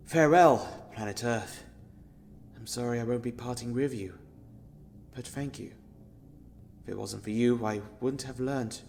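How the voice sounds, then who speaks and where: Man acting gravelly, despairing space traveller. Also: lowish voice, slight background noise. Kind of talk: dramatic audio drama dialogue.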